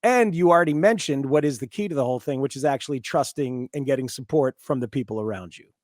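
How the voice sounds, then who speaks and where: A man's voice: mid-range voice, quick pace, darkish tone, no room sound, studio quality. A man in his fifties with an American accent, hosting a casual sports radio interview in a conversational way. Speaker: sports talk radio host.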